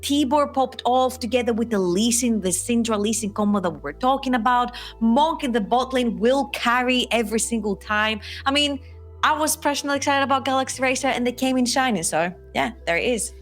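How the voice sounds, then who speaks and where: A woman's voice: fairly high pitch, fairly quick, faint music behind. A young woman casting esports from home, giving emphatic and opinionated analysis. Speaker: esports caster. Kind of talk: casual analysis chat. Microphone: desk mic on a webcam call.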